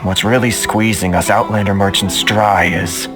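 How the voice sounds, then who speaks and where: A man playing a courteous, world-weary merchant in a video game, with measured speech. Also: fairly low pitch, fairly even intonation, lightly acted. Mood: aggrieved. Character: weary, courteous male merchant, head of a trade association. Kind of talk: video game dialogue acting. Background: game music.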